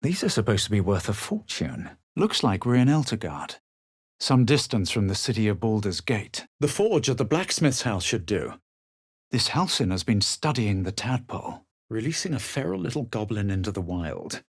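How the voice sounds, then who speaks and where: An actor playing a haughty, self-assured fantasy adventurer in a video game, with a superior, dry and dramatic delivery. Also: male voice, on the low side, sing-song, normal speed, husky, no echo, exaggerated voice. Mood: grave narration. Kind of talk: scripted video game voice lines.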